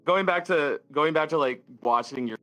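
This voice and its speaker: A man who streams, chatting as a guest in an animated, self-deprecating way. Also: a little high, normal speed, low-quality recording. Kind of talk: casual chat.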